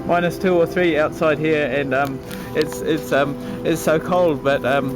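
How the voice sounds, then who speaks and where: A man chatting enthusiastically and a little haltingly as a ham radio operator, speaking out on a balcony. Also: fairly high pitch, steady tone, loud music behind the voice, poor audio. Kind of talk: casual radio chat. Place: outdoors on a cold balcony.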